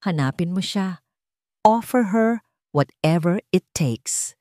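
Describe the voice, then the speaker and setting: A harried Filipino millionaire father voiced by a woman in an audio drama. He is terse, strained and desperate, with lively swings in pitch, recorded dry. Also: takes it slowly, dry recording. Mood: weary, desperate.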